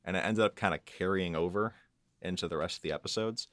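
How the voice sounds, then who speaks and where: A man with a very deep voice talks casually as an interview guest. The sound is very dry, and he explains things in an informal, loose way. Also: fast, fairly bright tone, no echo. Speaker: guest, audio engineer and composer. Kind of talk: casual behind-the-scenes interview chat.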